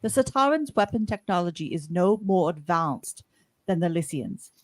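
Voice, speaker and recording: Woman as a resolute starship captain, low and dry. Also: animated, normal speed, no echo. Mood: grave, formal. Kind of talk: amateur radio play script reading.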